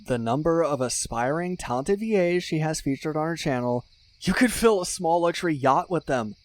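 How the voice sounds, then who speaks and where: A man's voice: fairly high pitch, expressive, normal speed, lightly acted. Man acting as an effusive, dramatic best friend. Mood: mock outrage. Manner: effusive, dramatic, gushing with mock-serious exaggeration. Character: excitable, sentimental male best friend and ASMR superfan. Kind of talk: ASMR roleplay acting, casual one-sided chat.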